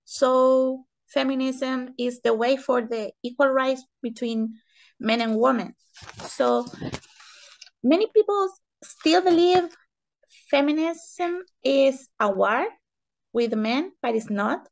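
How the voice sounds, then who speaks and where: Chilean lady, spanish accent, really slow halting english in an interview, bit of fry in the voice. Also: high voice, low-quality recording. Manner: halting, searching for words in simple English. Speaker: interview guest, economist and feminist. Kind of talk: interview answers in non-native English.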